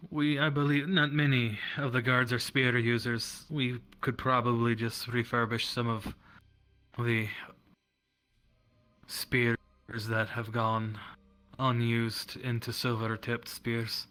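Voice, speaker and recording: Man playing a dutiful, even-toned guard officer. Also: mid-range voice, slow. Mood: dutiful, hesitant. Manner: hesitant, deferential, matter-of-fact. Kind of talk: improvised roleplay in a virtual-world game.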